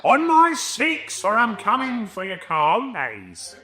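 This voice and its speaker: High cartoonish soldier villain. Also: male voice, wildly swooping pitch, takes it slowly, a crowd in the background, full character voice. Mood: playful menace. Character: playful, funny, cartoonified version of a dark masked soldier.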